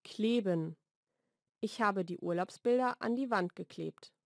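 A woman's voice: mid-range voice, creaky, no echo. Woman narrating vocabulary, very dry recording. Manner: measured, deliberate enunciation. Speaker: language lesson narrator. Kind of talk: scripted vocabulary narration, single words then example sentences. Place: dry studio voice-over recording.

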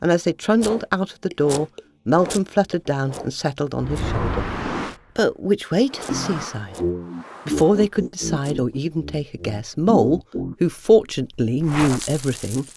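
A woman's voice: deep voice, lively intonation, moderate pace. A woman narrating a children's bedtime story in a scripted storytelling style. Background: music.

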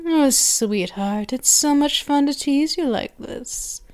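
Slow, cooing vampire mistress played by a woman. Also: high voice, a little mellow. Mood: teasing, possessive. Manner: slow, cooing, with whispered phrases and drawn-out words. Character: doting, teasing, possessive female vampire mistress. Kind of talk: intimate ASMR roleplay.

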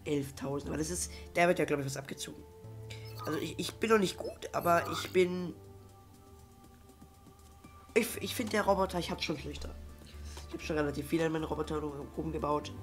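A man's voice: a little high, brisk, long pauses, soft background music. A young man with a cold, rambling in casual let's-play commentary with many fillers and restarts. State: has a cold. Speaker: gaming YouTuber.

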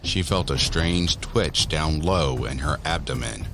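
A man narrating a scripted horror story in a deliberate, measured manner. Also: rumbling low, music in the background.

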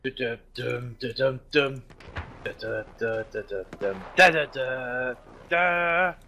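A young man co-playing a game, chatting excitably and making noises while he plays. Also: mid-range voice, slow, slight background noise. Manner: excitable, hums and makes gun noises. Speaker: co-player. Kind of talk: casual gaming chat.

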